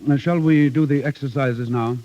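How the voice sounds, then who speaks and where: An actor playing a devoted, exacting acting teacher with precise, theatrical diction, in an old-time drama style. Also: male voice, medium pitch, fairly even intonation, moderate pace, natural voice. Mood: brisk, evasive. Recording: dull, muffled vintage recording.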